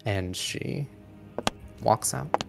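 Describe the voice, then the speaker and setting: A man narrating a tabletop game as game master in a dramatic, deliberate style, pausing mid-sentence for suspense. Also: lowish voice, creaky, faint music behind.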